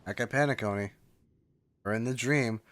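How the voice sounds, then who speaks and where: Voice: medium pitch, normal speed, fairly bright tone. A streamer reacting casually to a game, in an emotional, exclamatory way.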